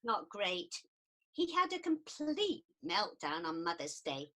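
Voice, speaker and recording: A woman's voice: fairly low pitch, big pitch swings, takes it slowly, pushed and bright, heavily acted. A woman playing an earnest, prim and easily offended counsellor in a radio comedy set in ancient Greece. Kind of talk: radio play acting.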